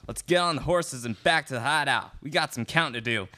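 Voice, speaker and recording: A man's voice: mid-range voice, brisk, sharp tone, lightly acted. A young man playing a cocky, menacing outlaw leader in a live student radio play. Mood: confident. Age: young adult. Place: classroom studio, indoors. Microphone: stand microphone. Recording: noisy live room recording with clicks.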